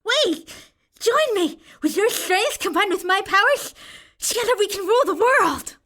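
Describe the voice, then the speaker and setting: Shrill, theatrical teenage necromancer villain. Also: female voice, animated, a little slow, pushed and bright, full character voice. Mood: grandiose mania. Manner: pompous, melodramatic villain delivery. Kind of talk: audio drama acting.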